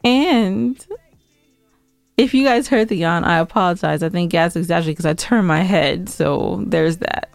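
Podcast co-host, American female, low resonant voice, emphatic and argumentative banter, dry close sound. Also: a little slow, creaky, no echo. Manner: emphatic, argumentative, dramatizing complaints. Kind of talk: casual, bantering podcast chat.

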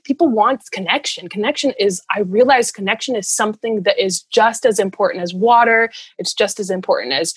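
A woman's voice: mid-range voice, breathy edge, no room sound. A woman speaking casually as an interview guest, earnest and rambling, in a giggly conversational way. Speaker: interview guest, runner and storyteller.

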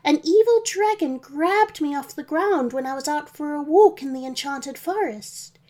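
Woman as a high-pitched, frightened little girl. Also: normal speed, dark tone, lightly acted. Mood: pleading. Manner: plaintive, pleading. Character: frightened, tearful young girl held prisoner. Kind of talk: children's storybook character voice.

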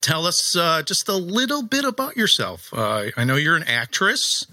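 A man's voice: fairly high pitch, wildly swooping pitch, normal speed, pushed and bright, dry recording. A man hosting a show, asking questions in a loose, jokey way with fillers and laughs. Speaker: show host. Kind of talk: casual interview chat.

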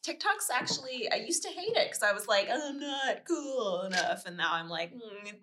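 A woman's voice: a little high, expressive, moderate pace, bright, forceful tone, a little hiss or hum. A woman guesting on a podcast, chatting casually in a joking, self-deprecating way. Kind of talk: casual chat.

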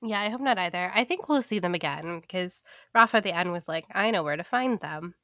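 Woman co-host, congested nasal voice, bright and chatty, close dry mic. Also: on the low side, lively intonation, brisk, dry recording. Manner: chatty, laughs often. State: stuffy, congested from a sinus infection. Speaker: podcast co-host. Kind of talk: casual fan podcast chat.

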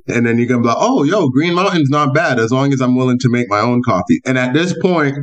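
Playful slangy male podcast host. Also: medium pitch. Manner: playful, animated, slangy banter. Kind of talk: casual conversational podcast.

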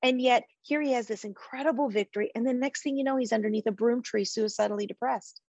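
A middle-aged woman speaks as a guest in a faith-based podcast interview, with a passionate, preacher-like delivery. Her voice sits a little high. The sound is dry and close, with no room around it. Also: fast talker, a little mellow, dry recording, lo-fi sound. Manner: passionate, preacher-like, quotes scripture.

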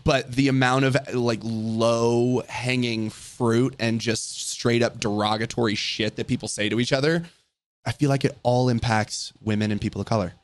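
A man hosting a podcast, chatting casually in a relaxed, agreeable way on a close mic. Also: mid-range voice, moderate pace, dry recording. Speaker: podcast host.

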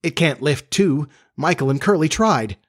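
A man's voice: fairly high pitch, expressive, normal speed, voice pushed up. A man voicing a brave boy who never grows up, speaking earnestly and gallantly. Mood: urgent.

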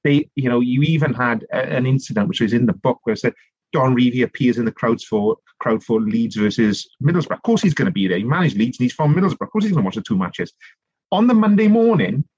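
A middle-aged man from South Wales with a Welsh accent, telling long animated stories as a podcast guest, full of restarts and asides. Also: mid-range voice, quick pace, husky, dry recording, dull audio. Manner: animated storytelling, rambling with many restarts and "you know". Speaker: guest, author and politician. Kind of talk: conversational podcast interview.

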